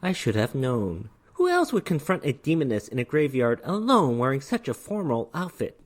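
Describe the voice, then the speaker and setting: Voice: medium pitch, huge pitch swings, normal speed. A narrator voicing a bored, playful, menacing female demoness in a dramatic story reading. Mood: impressed, mocking.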